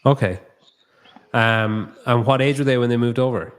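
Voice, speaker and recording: A man's voice: medium pitch, moderate pace, clear, resonant tone. A man hosting a podcast in a new studio, chatting loosely, thinking aloud and swearing casually. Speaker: podcast host. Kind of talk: casual interview chat.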